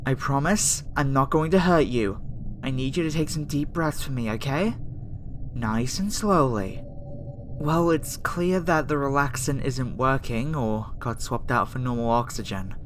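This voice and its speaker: A woman voicing a gentle, flustered young female alien scientist in an ASMR roleplay, speaking soothingly and hesitantly with stammers. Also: very deep voice, measured pace. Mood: earnest, calming. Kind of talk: ASMR roleplay audio acting. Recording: faint background noise under the voice.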